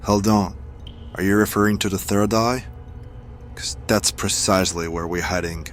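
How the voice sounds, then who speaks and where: A man playing a deep-voiced, defiant adventurer. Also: steady tone, normal speed, a little hiss or hum, natural voice. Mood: wary probing. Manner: challenging, guarded. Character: defiant male hireling adventurer, wary, hot-tempered. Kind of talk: audio drama acting.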